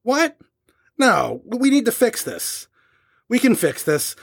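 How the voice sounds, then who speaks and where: A man's voice: extremely high pitch, big pitch swings, takes it slowly, vocal fry, a bit bright, breathy edge, heavily acted. A man acting in an audio horror drama as an urgent, slangy rock musician desperate to hold his band together. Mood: desperate, determined.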